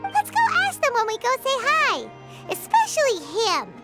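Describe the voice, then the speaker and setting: A woman's voice: extremely high pitch, huge pitch swings, normal speed, over loud music, full character voice. A woman voicing a small, chirpy, bubbly childlike companion in a video game. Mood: curious. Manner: chirpy, refers to self in third person. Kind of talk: video game character acting.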